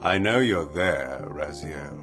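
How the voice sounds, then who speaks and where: An actor playing a commanding, ancient, sardonic vampire lord, speaking in measured, philosophical, theatrical lines for a video game. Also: male voice, rumbling low, lively intonation, over music, full character voice. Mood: sardonic, warning. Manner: measured, imperious, philosophical, fond of metaphor. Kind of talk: theatrical scripted game dialogue.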